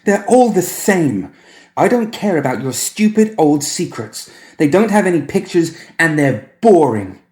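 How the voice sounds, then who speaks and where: A man's voice: a little high, very animated, a little slow, darkish tone, reverberant, voice pushed up. A man voicing a strange, bossy little boy in a story, with a piping voice and precise, childlike, petulant speech.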